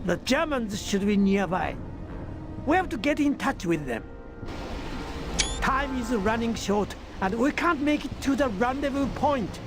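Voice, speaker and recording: An actor playing an urgent, anxious submarine officer in a dramatic war game. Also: male voice, extremely high pitch, expressive, a little slow, exaggerated voice. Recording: music behind the voice.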